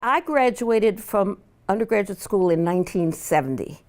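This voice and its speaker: An older woman, a law professor, recalling her life in an unhurried oral history interview, with frequent fillers and restarts. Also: mid-range voice, lively intonation, a little mellow. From Pittsburgh, Pennsylvania.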